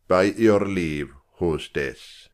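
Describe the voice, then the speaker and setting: A man playing a gracious, stately king with a measured, courtly manner in a Shakespearean audiobook drama. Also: low-pitched. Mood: genial, courteous. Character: gracious, stately male king visiting as guest.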